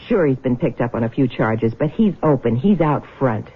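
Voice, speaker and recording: An actress playing a scornful, restless younger wife in a 1970s radio drama, taunting and dismissive with a hard edge. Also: female voice, rumbling low, expressive, moderate pace, breathy edge, exaggerated voice. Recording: muffled, band-limited vintage radio broadcast.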